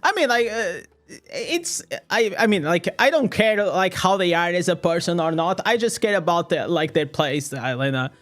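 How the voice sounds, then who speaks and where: A man's voice: sits high, lively intonation, normal speed, fairly bright tone. A young man from Portugal, a native Portuguese speaker, chatting casually on a live stream, rambling and swearing with many restarts. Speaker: streamer reading chat.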